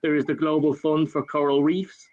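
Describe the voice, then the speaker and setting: An Irish man speaks on a panel in a fairly high, flat voice that barely rises or falls. He talks in a measured way, pausing on fillers and restarting phrases. The sound comes over a video call and is dull and lo-fi. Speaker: panel speaker for a national government. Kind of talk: panel discussion answer.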